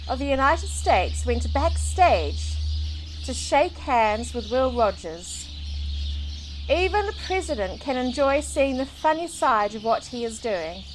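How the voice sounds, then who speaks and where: High, lilting woman reading a storybook. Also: a little hiss or hum. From New Zealand. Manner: expressive, deliberate read-aloud delivery. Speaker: children's book reader. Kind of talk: storybook narration for children. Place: outdoors in a garden. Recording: outdoor recording with clear birdsong. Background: birdsong.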